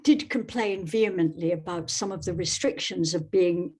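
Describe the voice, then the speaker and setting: A woman's voice: lowish voice, moderate pace, darkish tone, husky. A woman from Scotland speaking as an interview guest in a thoughtful, hesitant way, searching for words. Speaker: podcast guest, novelist.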